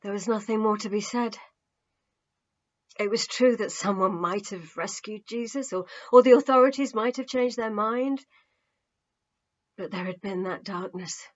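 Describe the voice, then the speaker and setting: Woman, audiobook storyteller, expressive and measured, slow pace, muffled lo-fi home recording. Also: medium pitch. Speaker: author reading own novel. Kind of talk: dramatic audiobook narration.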